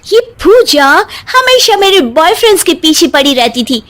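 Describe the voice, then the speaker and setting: Woman acting a very shrill, brash young bride. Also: very animated, normal speed, fairly bright tone, full character voice. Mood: flippant. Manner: flippant, drawling, peppers speech with English. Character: brash, spoiled young modern daughter-in-law. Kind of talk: cartoon storybook acting.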